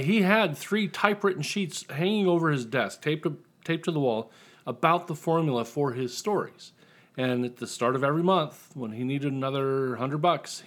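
A tipsy American man from Indiana chatting casually as an author guest, rambling, self-deprecating and profane. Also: medium pitch. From Indiana, United States. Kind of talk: casual chat.

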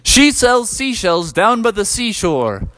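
A man's voice: high voice, sing-song, takes it slowly, a bit bright, no echo, voice pushed up, heavily acted. Goofy dad frog, played by a man, raised silly voice, cocky, boastful, dazed, dry close sound.

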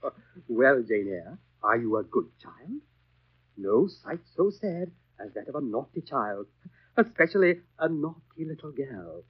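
An actor playing a pompous, sanctimonious school overseer, preaching sternly in 1930s radio drama style. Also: male voice, mid-range voice, big pitch swings, unhurried, husky. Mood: stern, condescending. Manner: pompous, preachy, exaggerated swoops. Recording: muffled vintage 1930s radio recording.

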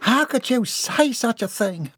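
Man putting on a high-pitched, fiery hillbilly wife. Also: slow, creaky, husky, dry recording, studio quality, exaggerated voice. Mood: outraged.

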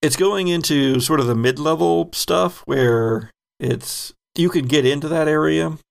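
A man narrating as game master of a tabletop horror game, describing scenes at an unhurried pace. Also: on the high side, creaky.

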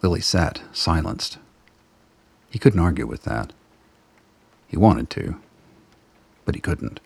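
A man narrating a scripted radio drama in a low, gravelly, husky voice, measured and brooding. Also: expressive, dry recording.

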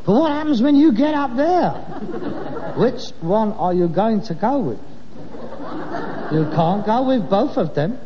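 Sanctimonious Cockney-style sitcom son, London accent, swooping delivery, old muffled recording. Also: male voice, high-pitched, animated, unhurried, long pauses, crowd noise. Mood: accusing. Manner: nagging, self-righteous, melodramatic. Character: indignant, sanctimonious grown son of a widowed rag-and-bone man. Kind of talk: vintage British sitcom acting. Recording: muffled, noisy archive sitcom recording, reverberant.

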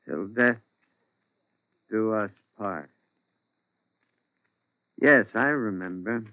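An actor playing an exasperated, desperate husband in an old-time radio melodrama. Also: male voice, fairly high pitch, animated, unhurried. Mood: brooding, scheming. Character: exasperated, tormented husband turning coldly desperate. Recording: muffled vintage radio recording.

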